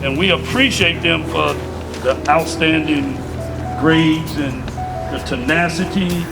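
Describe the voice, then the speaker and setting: Male emcee, high voice, upbeat and teasing, loud music behind. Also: slow, gravelly. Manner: upbeat, cheering, playful teasing. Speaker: event emcee. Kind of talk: ceremony emcee speech. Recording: music playing loudly behind.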